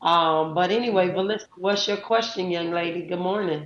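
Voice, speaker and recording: A woman's voice: lowish voice, fairly even intonation, fairly quick, roomy sound, dull audio. A woman hosting a live audio chat room, talking casually with many fillers and introducing guests. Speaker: host.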